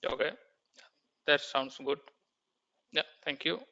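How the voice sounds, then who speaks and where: A man who trains students in warehouse software and runs an online class. He talks haltingly over a video call and uses many fillers. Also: a little high, steady tone. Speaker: SAP trainer. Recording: dull, muffled video call audio.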